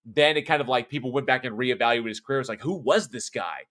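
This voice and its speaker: A man's voice: mid-range voice, fast, a bit bright. A middle-aged American man with a non-regional accent hosts a horror show and chats casually. He rambles, reads viewer comments aloud and often restarts or corrects himself. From United States, born in California. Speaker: horror show host and voice actor. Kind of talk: casual live-stream chat, reading viewer comments.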